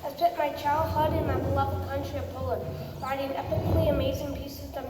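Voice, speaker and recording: A woman's voice: high-pitched, little pitch movement, fairly quick, close to an everyday voice. A young girl of about eleven playing a boastful, squeamish composer in a scripted comic school play. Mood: boastful, cheeky. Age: child, about 11 or 12. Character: boastful, squeamish former child prodigy composer. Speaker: student performer in readers theater. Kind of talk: scripted comic stage recitation. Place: school performance in a large echoey room. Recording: echoey hall, far mic, clearly noisy.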